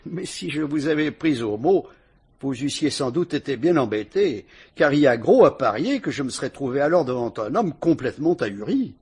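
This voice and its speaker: An actor playing a shrewd police inspector who questions a suspect with dry irony, in a vintage radio drama. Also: male voice, medium pitch, expressive, moderate pace, a little mellow, breathy edge. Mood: probing, ironic. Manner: sly, probing, mocking interrogation.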